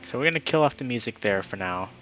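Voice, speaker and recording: A man's voice: mid-range voice, fast talker, lo-fi sound, soft background music. A man speaking as a live streamer, moving between friendly chat and reading a story aloud. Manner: upbeat, friendly, excitable when greeting viewers.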